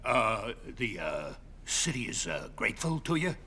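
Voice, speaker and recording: A man playing a cowed, reluctant city mayor in a video game, creaky-voiced, halting and grudging. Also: fairly low pitch, sing-song, pushed and bright, slight background noise, heavily acted. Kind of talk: video game crime drama acting.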